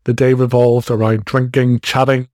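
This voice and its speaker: A man's voice: medium pitch, flat delivery, normal speed, a little mellow, no echo, clean studio sound. A man in his forties with an Irish accent, hosting his own podcast in a frank, chatty way. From Ireland. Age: 40s. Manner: frank, chatty, self-deprecating. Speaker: podcast host. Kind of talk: casual solo podcast monologue.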